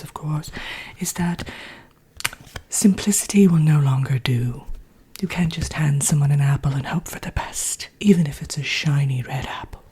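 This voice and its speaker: A haughty evil queen, acted by a woman, whispers through an ASMR roleplay. Her voice is low and extremely breathy, with a dark, soft tone. She instructs with deliberate, wry condescension, trailing into hesitant asides. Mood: smug. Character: haughty, dry evil queen villainess teaching a workshop.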